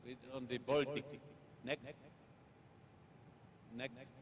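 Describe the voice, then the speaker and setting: Polish-accented male guest lecturer, slow and halting, faint rumble behind. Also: level tone. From Poland. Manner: halting, repetitive, short fragmented phrases. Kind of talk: academic lecture with slides. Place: lecture hall.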